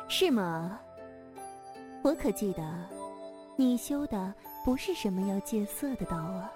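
A woman's voice: mid-range voice, animated, slow, long pauses. A woman playing a seductive, proud female sect leader in a wuxia audio drama, with a coy, teasing manner. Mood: teasing, amused. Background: music.

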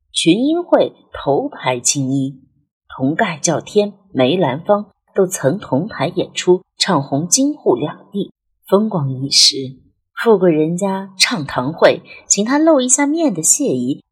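A woman a breathy voice and reads literary narration in a measured, expressive, dramatic way, as an audiobook narrator. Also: sits low. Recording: music under the voice. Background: background music.

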